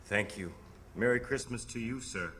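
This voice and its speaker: An actor playing a gentle, enigmatic doctor, measured and probing, in old-time radio theatre. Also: male voice, medium pitch, natural voice. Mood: composed. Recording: loud background noise and ambience.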